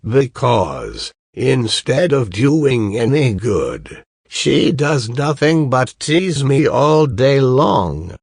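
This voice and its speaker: A man reads a sulky young goose-herd from a storybook tale. The lad complains in an aggrieved way, slowly and with a husky, gravelly grain. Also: mid-range voice, big pitch swings, darkish tone, no echo, clean studio sound, full character voice.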